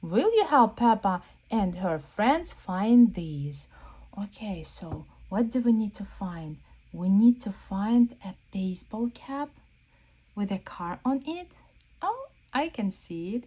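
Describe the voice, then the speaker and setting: Children's storyteller: woman, mellow voice, very animated pitch, guiding small listeners through a picture book. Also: husky, low-quality recording. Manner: exaggerated wonder, slow questions to young listeners. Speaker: children's read-aloud storyteller. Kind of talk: interactive read-aloud for young children.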